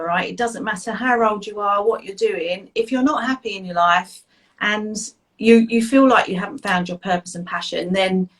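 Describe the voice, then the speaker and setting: A woman's voice: mid-range voice, fairly bright tone, rough recording. Middle-aged woman, enthusiastic host, upbeat, video call. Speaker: host, therapist and coach. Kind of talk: live interview hosting.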